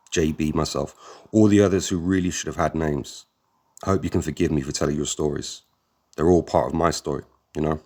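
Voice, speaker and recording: A man reading a first-person memoir aloud as an audiobook narrator, in a steady, measured way. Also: a bass voice.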